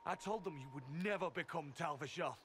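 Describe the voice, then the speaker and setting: A man's voice: fairly high pitch, brisk, fairly bright tone, close to an everyday voice. Man acting a stern, zealous agent, noisy backdrop. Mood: urgent, insistent. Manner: clipped, commanding, scornful. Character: stern, zealous male Qunari-aligned elf agent, disdainful and urgent. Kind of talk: dramatic video game acting.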